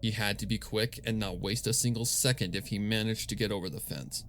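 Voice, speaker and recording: A man with a fairly low voice narrates a dark story from a script. His delivery is measured and solemn, over faint background noise. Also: steady tone. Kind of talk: scripted fan fiction narration.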